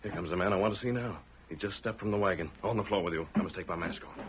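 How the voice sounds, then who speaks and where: An actor playing an upright, commanding masked cowboy hero with measured, formal speech in a 1940s radio western. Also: male voice, deep voice, close to an everyday voice. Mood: calm, businesslike. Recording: noisy vintage radio recording.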